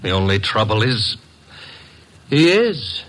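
A man's voice: on the low side, sing-song, slow, heavy background noise. An actor playing a wisecracking young male detective's assistant in 1940s radio drama style, with breezy joking patter. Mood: wry.

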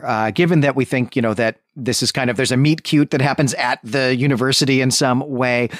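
A man's voice: medium pitch, dry recording, studio quality. A man co-hosting a literary discussion podcast, speaking conversationally in long, winding, analytical sentences as he thinks aloud. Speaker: podcast co-host.

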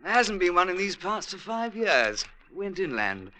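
An actor playing a pompous British army major in a radio drama, with a blustering, hesitant, clipped way of repeating himself. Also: male voice, high voice, big pitch swings, a little slow, pushed and bright, exaggerated voice. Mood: self-assured, probing. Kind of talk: old-time radio drama acting. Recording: classic radio recording with faint noise.

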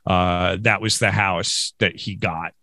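A man's voice: deep voice, steady tone, takes it slowly, pushed and bright, no room sound. Chatty man with a dry, close sound. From Western New York. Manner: rambling, self-deprecating, laughs often. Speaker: podcast guest. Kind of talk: casual interview chat.

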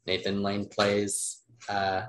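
Young adult man, podcast guest, low-voiced, hesitant and casual. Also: completely flat delivery, takes it slowly, a bit bright, soft background music, low-quality recording. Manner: hesitant, many "um"s and restarts. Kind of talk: casual chat.

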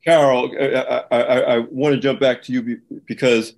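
A man with a deep voice moderating a panel discussion, speaking earnestly and often restarting his sentences. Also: steady tone, moderate pace, a little mellow.

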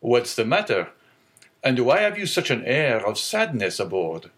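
A man's voice: mid-range voice, wildly swooping pitch, unhurried, a bit bright. A man voicing a middle-aged ship owner who questions eagerly and speaks pragmatically, in an audiobook reading. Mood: anxious. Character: middle-aged male ship owner, anxious then consoling.

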